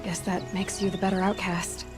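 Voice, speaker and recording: An actress playing a defiant, wry young outcast huntress in a video game, speaking in a dry, sardonic way. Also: female voice, medium pitch, little pitch movement, normal speed, music in the background, close to an everyday voice. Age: young adult. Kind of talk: video game dialogue acting.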